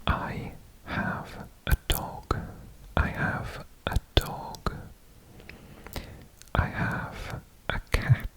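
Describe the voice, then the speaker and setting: A man from Wales, whispering a quiz about himself for an ASMR video, repeating single words softly over and over. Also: drawn-out words. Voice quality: whispered throughout. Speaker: ASMR creator.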